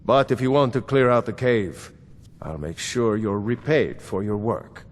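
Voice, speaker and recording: A man voicing a stern, loyal steward of a fantasy court in a video game, speaking formally and with measured admonishment. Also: lowish voice, lively intonation, heavy background noise. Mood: measured, dismissive. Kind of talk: scripted game dialogue. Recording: long hall reverb.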